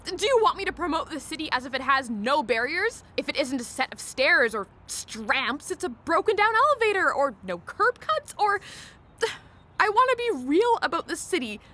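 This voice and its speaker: Blunt young tour guide, high lively voice, radio play, faint hiss. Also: female voice, moderate pace, heavily acted. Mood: impassioned. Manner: earnest, sharp, outspoken. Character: young wheelchair-using tour guide, blunt truth-teller. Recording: faint ambient noise.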